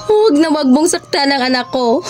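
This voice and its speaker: A woman's voice: high-pitched, lively intonation, normal speed, a little mellow, faint music behind. A woman playing a deranged, grieving mother who searches for her lost child, pleading in anguish in an amateur radio drama. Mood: anguished, unhinged.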